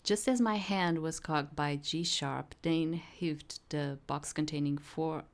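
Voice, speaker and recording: A woman's voice: low voice, slow pace. A woman streaming a visual novel, reading the game text aloud and breaking off into rambling, improvised commentary. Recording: game music behind the voice.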